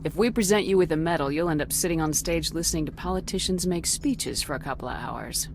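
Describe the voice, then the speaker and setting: Composed military commander in a game, quick and lowish, formal, bit of background noise. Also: female voice. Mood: wry. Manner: formal, crisp, respectful. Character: composed, dutiful female military starship commander. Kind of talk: video game voice acting.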